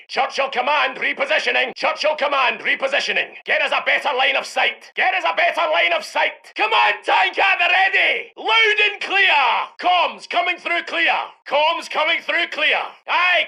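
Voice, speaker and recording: A man's voice: extremely high pitch, creaky, bright, forceful tone, exaggerated voice. A man voicing a brash, battle-eager British heavy tank commander in a military game, barking out battle cries and reports. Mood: commanding, urgent. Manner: barked battle cries and radio-style reports. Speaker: video game voice actor. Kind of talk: military game unit voice lines.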